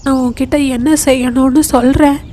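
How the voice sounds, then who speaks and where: A woman's voice: high-pitched, steady tone, moderate pace, dark tone, slight background noise, close to an everyday voice. A woman voicing a caring, wise old grandmother owl in a children's cartoon. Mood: advising.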